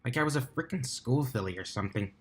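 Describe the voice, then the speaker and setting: A man's voice: mid-range voice, expressive, quick pace, fairly bright tone, close to an everyday voice. A man voicing an earnest, easygoing male pony athlete with a casual, sincere manner in a story reading. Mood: sincere, sheepish.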